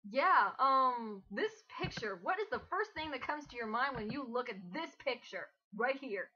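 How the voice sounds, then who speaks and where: A woman's voice: sits high, lively intonation, normal speed, sharp tone. A woman hosting a casual, mocking commentary, jokey and exasperated, with frequent swearing. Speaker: host of a dramatic reading commentary. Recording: dull lo-fi home recording.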